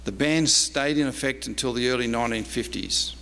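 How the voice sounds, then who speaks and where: A man's voice: mid-range voice, fairly quick, pushed and bright, slight background noise. A man with an Australian accent giving a prepared history lecture, largely read aloud, in a reverberant convention room. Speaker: historian and archivist giving a talk.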